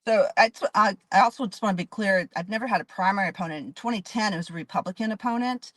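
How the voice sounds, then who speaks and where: A woman's voice: medium pitch, quick pace, dry recording. A woman in her fifties from Dallas, a judge running for office, giving earnest, detailed interview answers with frequent restarts. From Dallas, Texas. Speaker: judge and candidate.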